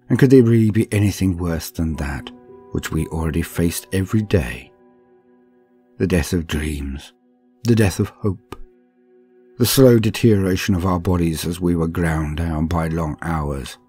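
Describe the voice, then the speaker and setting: A man voicing a weary, haunted captive laborer from a grim sci-fi future, in brooding, measured, dramatic horror-story narration. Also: a little mellow, heavily acted. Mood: hopeless, resigned. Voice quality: low, husky. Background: music.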